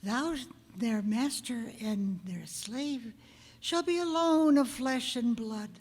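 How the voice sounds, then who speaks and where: A woman's voice: fairly high pitch, slow pace, darkish tone. A woman playing a stern messenger of God in a radio drama, giving grand, solemn, archaic pronouncements. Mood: solemn judgment. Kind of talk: old-time radio drama acting. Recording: echoey hall with long reverb.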